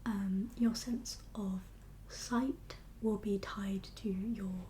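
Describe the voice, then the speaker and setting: A woman whispering an ASMR medical roleplay as a kindly doctor, gentle and reassuring in an unhurried, professional way. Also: medium pitch, steady tone, a little hiss or hum. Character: kindly doctor for undead patients. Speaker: ASMR performer playing a doctor.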